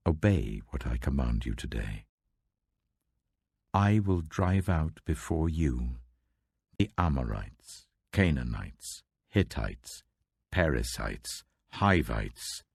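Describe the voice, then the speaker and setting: A man reading a scripture audiobook, voicing a stern male God who speaks in a solemn, commanding, deliberate manner. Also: very deep voice, darkish tone, husky, no echo, clean studio sound, heavily acted.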